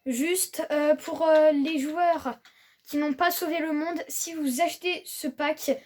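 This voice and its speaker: Woman co-host, high-pitched, relaxed explainer. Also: slow pace, recorded from a distance. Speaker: gaming channel co-host. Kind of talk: casual gameplay chat.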